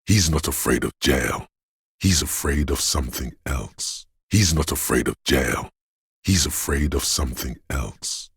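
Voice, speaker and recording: An actor voicing a video game character, a paranoid, aggressive inner voice of fight-or-flight instinct, speaking in an ominous, raspy, urging way. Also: male voice, lively intonation, no echo, clean studio sound, exaggerated voice. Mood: tense, watchful. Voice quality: very deep, gravelly, raspy. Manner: slow, deliberate, ominous urging.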